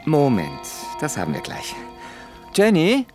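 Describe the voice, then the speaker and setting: Chummy boss, very animated. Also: male voice, on the high side, measured pace, soft background music. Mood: baffled. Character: chummy, baffled male boss, overly upbeat. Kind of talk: radio drama dialogue.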